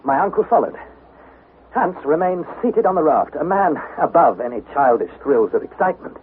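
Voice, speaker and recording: A man's voice: a little high, animated, normal speed, narrow, tinny audio, close to an everyday voice. An actor playing an earnest, anxious young nephew, precise and dramatic as he narrates and acts in an old radio adventure. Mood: tense, measured. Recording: thin, noisy vintage radio recording.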